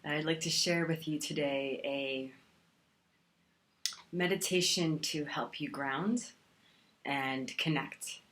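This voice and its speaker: Slow, low-voiced woman guiding meditation. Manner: calm, soothing, with long pauses. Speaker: meditation teacher. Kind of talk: guided meditation.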